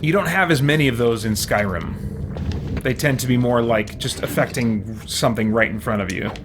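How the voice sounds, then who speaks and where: A man's voice: medium pitch, moderate pace, heavy background noise. A man hosting a let's play, giving casual gameplay commentary and thinking aloud with mild, folksy exclamations. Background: loud game music.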